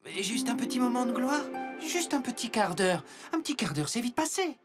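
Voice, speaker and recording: An actor in a French cartoon dub playing an excitable, vain, anxious young helicopter robot, with music behind. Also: medium pitch, normal speed, close to an everyday voice. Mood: pleading.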